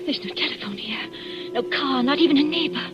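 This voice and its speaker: A frightened young wife speaks in a raised, pleading voice. Music runs clearly behind her on a dull vintage radio recording. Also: high voice, animated, a little fast. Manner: pleading, anxious. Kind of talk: 1940s radio acting.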